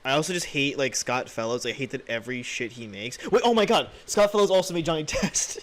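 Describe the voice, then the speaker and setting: A man's voice: mid-range voice, expressive, fairly quick. Young American male podcast co-host, casual, opinionated and unfiltered, free with swearing. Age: young adult. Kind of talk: casual chat.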